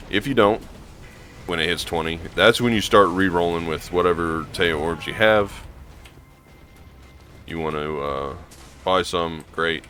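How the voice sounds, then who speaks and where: Hoarse, gravelly low-voiced man. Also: a bit bright, a little hiss or hum. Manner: casual, meandering, lots of ums and restarts. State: hoarse from allergies. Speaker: gaming channel host. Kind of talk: rambling gameplay commentary.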